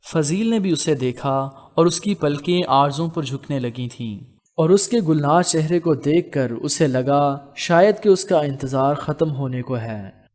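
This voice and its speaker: A man narrating a scripted romance novel as an audiobook, in a measured, literary storytelling manner. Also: fairly high pitch, darkish tone.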